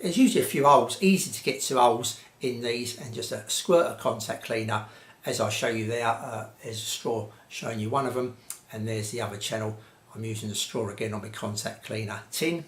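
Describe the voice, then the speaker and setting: A man with an English accent giving a casual, unscripted how-to in a chatty, rambling, colloquial way. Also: medium pitch. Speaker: presenter of hi-fi repair tips videos. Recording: roomy, echoey sound.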